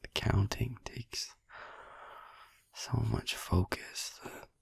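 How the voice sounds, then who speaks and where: A man playing a gentle, caring vampire boyfriend in a soft, halting, breathy whisper for a sleep-aid ASMR roleplay, close to the microphone. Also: lowish voice, steady tone, no echo, heavily acted. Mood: musing, soft. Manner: soft, halting, soothing, casual. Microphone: close, dry microphone.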